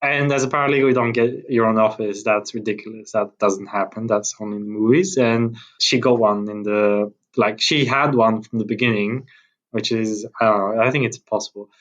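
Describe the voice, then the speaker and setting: A man's voice: on the low side, steady tone, normal speed. A man from Bulgaria in his thirties, a podcast guest chatting casually with many restarts and fillers and frequent laughs. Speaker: podcast guest, tech founder. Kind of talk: casual interview chat.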